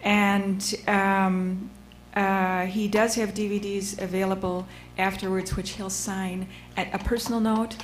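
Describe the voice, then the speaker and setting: A woman's voice: medium pitch, flat delivery, a little slow, a little hiss or hum. A woman moderating a panel, casually introducing the next speaker and searching for words with fillers. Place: museum lecture room.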